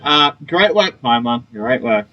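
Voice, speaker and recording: A man's voice: medium pitch, animated, unhurried, highs cut off, noisy background. A man doing casual, wry gameplay commentary, reacting in short asides as he plays. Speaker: gamer playing through and reacting. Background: game music.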